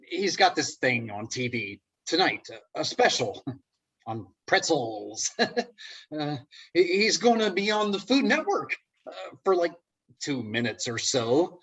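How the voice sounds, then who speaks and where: A man plays an awkward, wry office worker in a stage comedy. His voice is husky and mid-pitched, with lively rises and falls. He talks slowly and haltingly, nervously hopeful. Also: full character voice. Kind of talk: comedic play table reading.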